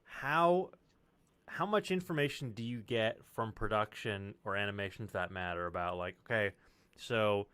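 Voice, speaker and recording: A man's voice: fairly low pitch, moderate pace, fairly bright tone, clear, resonant tone, dry recording. A male podcast host asking loose, rambling questions and searching for words, swearing casually. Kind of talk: casual interview chat.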